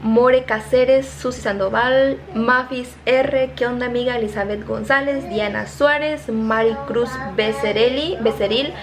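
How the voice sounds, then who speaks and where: Young Mexican woman vlogger, slightly high voice, casual and self-laughing, noisy phone audio. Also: steady tone. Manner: chatty, reading names quickly, laughing at herself. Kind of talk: casual shout-outs to viewers. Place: home kitchen, indoors. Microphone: phone held at arm's length.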